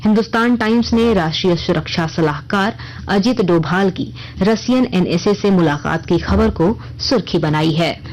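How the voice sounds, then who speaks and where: Female news reader, lower-set voice, formal and measured bulletin delivery. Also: a little mellow, poor audio. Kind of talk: scripted radio news bulletin reading.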